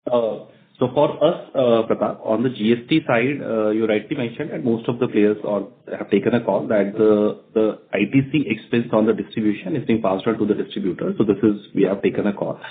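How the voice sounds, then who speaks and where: A man's voice: lowish voice, brisk. A man with an Indian accent explaining things as a company executive answering questions on an earnings call. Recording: narrow phone conference line.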